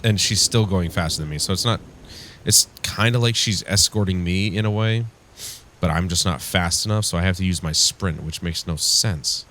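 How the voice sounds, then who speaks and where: A man hosting a casual gameplay commentary, rambling and wryly griping, his voice congested and strained from yelling. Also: sits low, normal speed. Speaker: gaming show host. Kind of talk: casual let's play commentary. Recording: clear background noise.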